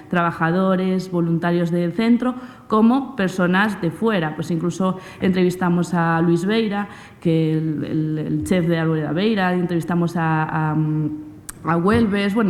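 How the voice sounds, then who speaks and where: A woman with an accent from Spain, giving an informal, rambling and hesitant presentation to an audience. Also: darkish tone. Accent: Spanish from Spain. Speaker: presenter of a community radio project. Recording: echoey hall, faint rumbling noise.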